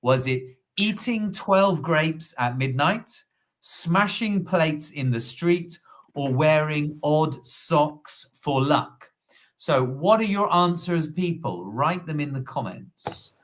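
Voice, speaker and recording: Slow, deliberate man with a lively pitch. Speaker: co-host, quiz presenter. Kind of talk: casual live-stream chat, reading quiz questions.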